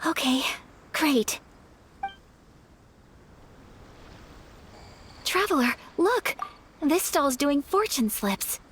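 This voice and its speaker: An actress playing a polite, easily flustered young noble lady in an animated game, courteous, hesitant and earnest. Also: sits high, slow, slight background noise, heavily acted. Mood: delighted. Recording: music behind the voice.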